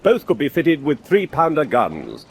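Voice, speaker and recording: An actor playing an assured naval officer in a radio drama, talking in clipped, telegraphic reports. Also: male voice, fairly high pitch, wildly swooping pitch, unhurried, vocal fry, slight background noise, full character voice. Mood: brisk, proud. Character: brisk, assured British naval second-in-command.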